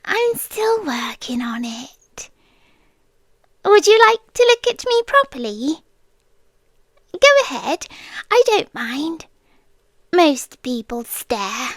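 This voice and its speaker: A British woman voicing a girlish, sweetly menacing and possessive deer spirit in an ASMR roleplay, coy and teasing, slipping between soft speech and whispers close to the mic. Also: high voice, sing-song, takes it slowly, pauses often, no echo, full character voice. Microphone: close microphone.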